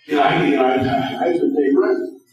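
A man's voice: mid-range voice, steady tone, brisk, darkish tone. A middle-aged man, a lawyer, giving an earnest, urgent speech from a podium, telling stories and repeating key points for emphasis. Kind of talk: speech to an audience. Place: large hall, on stage at a podium. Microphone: podium microphone on a stand. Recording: echoey hall, distant, slightly dull.

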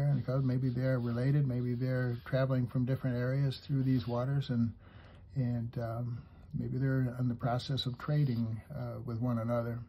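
A man giving an unhurried, step-by-step drawing lesson for kids, talking as he draws and pausing mid-sentence, with a husky voice. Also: mid-range voice, lo-fi sound, a little hiss or hum. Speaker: drawing teacher.

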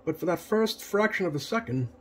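A man's voice: high voice, expressive, fairly quick. A man giving a casual, unscripted repair demonstration in a plain-spoken, hesitant way, like a technician at his bench. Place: indoor home electronics workbench. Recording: noticeable background noise, workbench room.

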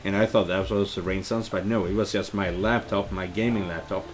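A man in his thirties giving casual, halting let's play commentary and reading game text aloud. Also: low voice, fairly even intonation, slight background noise. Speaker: game streamer. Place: indoor room at home. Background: game music and rain sounds.

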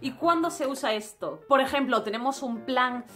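Animated young Spanish woman vlogging. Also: sits high, moderate pace, soft background music. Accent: Spanish from Spain. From Spain. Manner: animated, expressive, gestures a lot. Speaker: travel vlogger. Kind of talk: casual vlog monologue. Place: small room indoors.

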